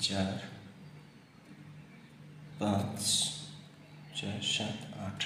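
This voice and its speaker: Echoey low-voiced man presenting a product. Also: level tone, very slow. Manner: instructional, repeats key points for emphasis. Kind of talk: product demonstration, explaining specifications. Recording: very echoey reverberant room, faint noise.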